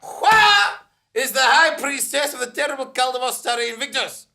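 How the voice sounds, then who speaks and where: A woman playing a pompous, indignant adventurer clerk in a comic audio drama, declaiming and shouting imperiously. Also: sits high, animated, normal speed, gravelly, slight background noise, exaggerated voice. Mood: imperious, furious.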